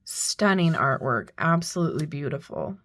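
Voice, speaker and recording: A woman unboxing and reviewing a tarot deck, making soft, admiring remarks as she turns the cards. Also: low voice, very animated, moderate pace. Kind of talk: unboxing commentary.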